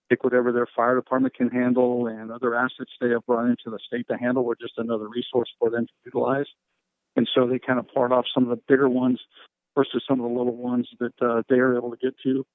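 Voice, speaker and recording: A man speaking as a fire and rescue official, answering questions on a conference call in measured, procedural language full of operational jargon. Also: medium pitch, dry recording, phone-quality sound.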